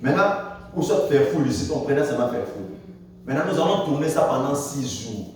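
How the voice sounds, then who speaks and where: A man's voice: expressive, fairly quick, soft background music. Man preaching with big swings in an echoey church. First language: French. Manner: preaching with dramatic swings in pitch and loudness, frequent hallelujahs. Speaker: pastor. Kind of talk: sermon. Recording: echoey church hall, distant mic, faint noise.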